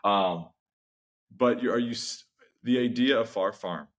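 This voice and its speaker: Deep-voiced male podcast host. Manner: measured, repeats words while forming questions. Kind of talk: podcast interview.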